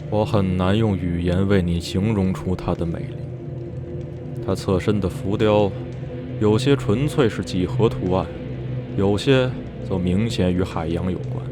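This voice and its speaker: Haunted storyteller, male actor, low register, brooding and measured with feverish intensity, horror radio drama over music. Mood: haunted, reflective. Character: male narrator recounting eerie memories, obsessed and haunted. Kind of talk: dramatic radio play narration.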